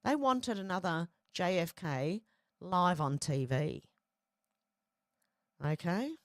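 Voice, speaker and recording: A woman's voice: lowish voice, expressive, takes it slowly, no echo, studio quality. A woman from Ireland hosting an online news commentary show, reading posts aloud and reacting to them in a chatty, conversational way.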